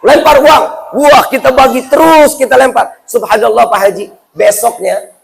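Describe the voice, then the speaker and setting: A male Islamic preacher with a Jakarta Indonesian accent and a high-pitched voice. He speaks colloquially and playfully to a live audience, firing rhetorical questions to draw them in. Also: moderate pace, gravelly, low-quality recording. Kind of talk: humorous sermon to a live audience.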